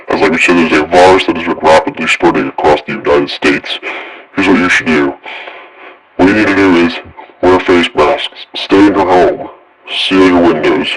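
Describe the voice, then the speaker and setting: A man playing a grave emergency alert announcer who delivers warnings in a halting, repetitive way. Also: low-pitched, husky, low-quality recording. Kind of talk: improvised emergency broadcast announcement.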